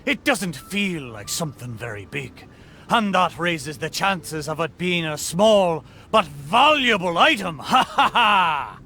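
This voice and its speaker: A man's voice: fairly high pitch, sing-song, slow pace, sharp tone, a little hiss or hum, exaggerated voice. A man voicing a boastful, good-humored spirit of an old pirate ship in a video game, talking in hearty, swaggering pirate style. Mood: jovial, confident. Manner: hearty, bragging, swaggering pirate talk with "arr". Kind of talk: video game character acting.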